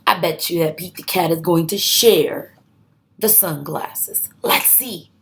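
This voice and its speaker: A woman's voice: lowish voice, takes it slowly, creaky, a little hiss or hum. A woman reading a picture book aloud for young children, expressively, with simple asides to her listeners. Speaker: read-aloud host.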